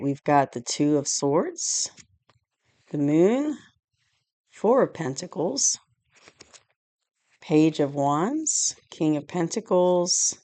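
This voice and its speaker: A woman with a low, hoarse voice, still recovering from a cold, talks through a tarot spread in a loose, meandering way. She leans on "you know" and "like" and sounds close and dry in a dim room at home. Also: dark tone, dry recording. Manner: rambling, loose, full of "you know" and "like", with light laughs. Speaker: tarot reader. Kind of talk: casual spoken tarot reading. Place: indoors at home, dim room lit by a candle and lamp.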